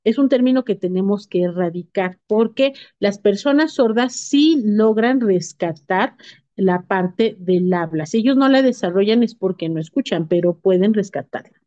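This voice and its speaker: A woman teaching a class, explaining terms in a steady, patient way with occasional fillers and self-corrections. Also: mid-range voice, moderate pace, no echo, highs cut off.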